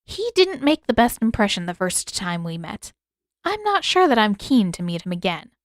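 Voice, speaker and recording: A woman voicing an older mother, shrewd and unyielding, with a measured, matter-of-fact way of talking. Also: mid-range voice, big pitch swings, no echo, studio quality, close to an everyday voice. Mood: dry, assured.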